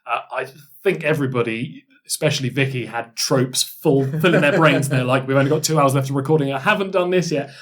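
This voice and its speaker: A man's voice: medium pitch, a little fast, hardly any pauses, fairly bright tone. A man who co-hosts a podcast, looking back in casual, enthusiastic and emphatic conversation. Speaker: podcast co-host and role-play performer. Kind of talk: casual retrospective chat.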